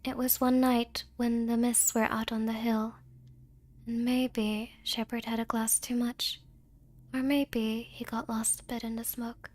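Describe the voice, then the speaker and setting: High-voiced woman reading an ASMR story. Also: flat delivery, darkish tone. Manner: slow careful pronunciation when reading. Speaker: VTuber ASMR performer. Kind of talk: ASMR storytelling and playful chat.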